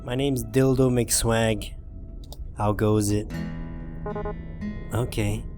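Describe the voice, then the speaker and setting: A man's voice: fairly low pitch, steady tone, very slow. Jokey man reading a game over music. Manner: jokey, informal, swears, drifts into asides. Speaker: host of a gaming channel, reading a visual novel. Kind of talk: narrated playthrough with dramatic reading and casual asides.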